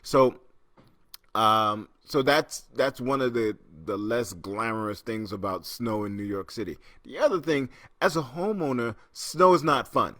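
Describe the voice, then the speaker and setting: A man's voice: medium pitch, measured pace, fairly bright tone, no room sound, clean studio sound. A middle-aged man from Trinidad hosting a casual livestream chat from his home, rambling with lots of restarts and reading viewer comments as they come in. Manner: rambling, chatty, many restarts and fillers, reads comments aloud. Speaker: host. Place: home in New York City.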